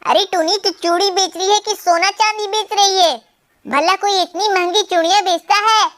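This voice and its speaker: A woman's voice: very high voice, fairly even intonation, moderate pace, fairly bright tone. A woman voicing a nosy, haggling female crow in a children's cartoon, full of exclamations. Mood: haggling, indignant. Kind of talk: Hindi children's cartoon dialogue.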